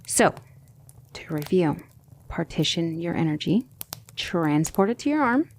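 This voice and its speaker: A woman playing a gentle, patient demon tutor in an ASMR roleplay, speaking in measured, formal instruction that often drops toward a whisper. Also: fairly low pitch, slight background noise. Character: gentle, patient demon tutor guiding a magic student, female.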